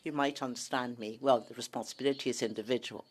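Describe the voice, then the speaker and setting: Interpreter, mid pitch, measured, plain statements. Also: fairly even intonation, a little hiss or hum. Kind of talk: interpreting a debate reply.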